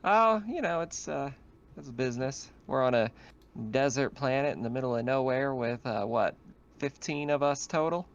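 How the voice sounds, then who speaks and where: A man's voice: mid-range voice, animated, normal speed, lo-fi sound, lightly acted. A man voicing a folksy, flirty woman who keeps a frontier store in a tabletop game, drawling and wry. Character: folksy, flirty woman liquor store keeper on desert colony.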